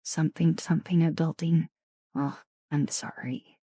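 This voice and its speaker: A woman streamer chatting casually with viewers in a soft ASMR style, her voice raspy and deeper than usual, often slipping into whispers. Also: steady tone, measured pace, dry recording, clean studio sound. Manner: chatty, greets and thanks viewers.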